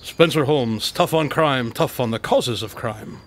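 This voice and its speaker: A man playing an eccentric, self-assured detective with a playful deadpan manner in a comic radio drama. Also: mid-range voice, a little slow, a little hiss or hum, natural voice. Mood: cocky, playful. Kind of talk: comedic radio drama acting.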